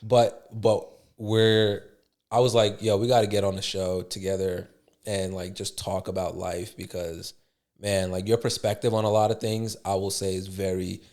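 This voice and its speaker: A man's voice: deep voice, flat delivery, normal speed, a little mellow. A man hosting a podcast, laid-back and slangy, asking questions in an easy, casual way. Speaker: podcast host. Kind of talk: casual interview chat.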